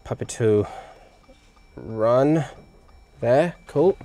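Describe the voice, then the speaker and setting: A man's voice: medium pitch, expressive, extremely slow, mellow. A man giving a casual hands-on explainer for a vlog, informal and thinking aloud as he works. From Australia. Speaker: vlogger showing a DIY car radio install.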